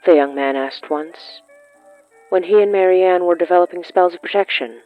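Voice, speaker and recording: A narrator of middling pitch reads a scripted story. The recording is somewhat dull and lo-fi, with music playing faintly behind the voice. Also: normal speed, dry recording. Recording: muffled lo-fi sound with music.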